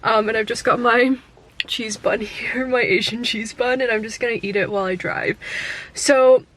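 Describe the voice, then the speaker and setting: A woman's voice: fairly high pitch, fairly even intonation, normal speed, fairly bright tone. A young adult woman with a Canadian accent, talking to the camera in a casual, upbeat vlog full of fillers. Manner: chatty and upbeat, many fillers. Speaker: vlogger.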